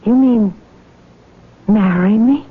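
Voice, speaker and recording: A woman's voice: mid-range voice, takes it slowly, slightly rough. An actress playing a weary, vulnerable young farm woman in a radio drama, wistful and plaintive. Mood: vulnerable, unsure. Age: young adult. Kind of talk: radio drama acting, confessional narration. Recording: tinny vintage radio, music behind.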